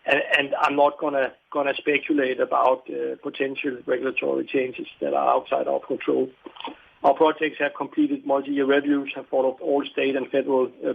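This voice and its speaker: A man answering questions as a company executive on an earnings call, halting and often repeating his words. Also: mid-range voice, creaky, breathy edge. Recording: tinny phone-like call line.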